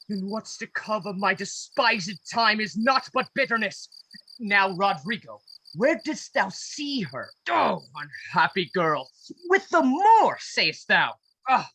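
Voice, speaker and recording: Outraged, grieving nobleman father played by a woman, declamatory Shakespearean delivery, lively and husky, video-call recording. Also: medium pitch, exaggerated voice, poor audio. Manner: declamatory, theatrical. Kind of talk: amateur Shakespeare radio play acting.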